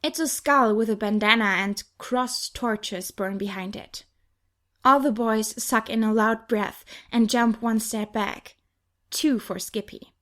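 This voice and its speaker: A woman's voice: a little high, steady tone, a little slow, fairly bright tone. A woman reading first-person young adult fiction as an audiobook narrator.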